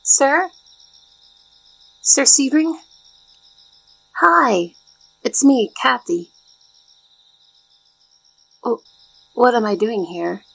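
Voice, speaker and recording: A woman playing a shy, devoted young maid in a soft ASMR roleplay, speaking politely and formally with flustered hesitation in a soft, breathy voice. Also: mid-range voice, dry recording, heavily acted, rough recording.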